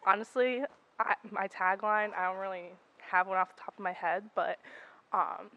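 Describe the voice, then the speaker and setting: A woman's voice: medium pitch, steady tone, normal speed, lo-fi sound. A woman of twenty-two answering a campus interview, hesitant and full of fillers. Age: 20s. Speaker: student interviewee.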